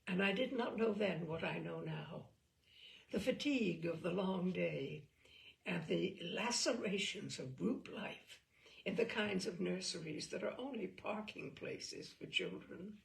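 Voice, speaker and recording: Slow, creaky-voiced woman reading fiction. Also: medium pitch. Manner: measured, expressive, dwelling on phrases. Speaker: reader of a short story. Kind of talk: dramatic literary narration, first person.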